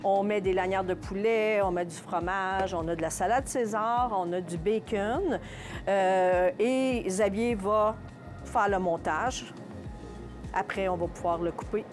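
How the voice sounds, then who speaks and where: A woman with a Quebec French accent giving casual interview answers as a shop owner, haltingly searching for her words. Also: mid-range voice, music in the background. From Contrecœur, Quebec, Canada. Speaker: small business owner being interviewed.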